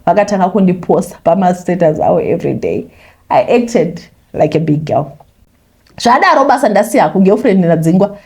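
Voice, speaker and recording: Zimbabwean lady reading out a confession story, talks quick, warm dark tone, chatty with bits of english mixed in. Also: lowish voice, animated. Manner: conversational, mixes in English phrases. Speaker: YouTuber reading a listener's confession. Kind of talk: first-person confessional storytelling.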